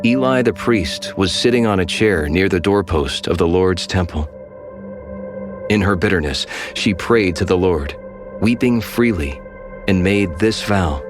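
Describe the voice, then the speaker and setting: A man giving measured, solemn scripted scripture narration over strong music. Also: deep voice.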